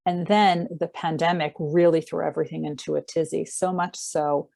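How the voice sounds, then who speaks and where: A woman with an American accent gives her view as a panelist in short, emphatic conversational phrases. Her voice is low and gravelly, recorded dry and close. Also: fairly even intonation, moderate pace, darkish tone, no room sound. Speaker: medical school learning officer, panelist. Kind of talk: conversational webinar talk.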